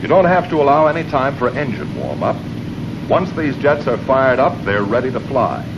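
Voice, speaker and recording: An American man narrating a scripted training film in a measured, instructional manner. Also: mid-range voice, very animated. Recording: muffled old film soundtrack, clear noise.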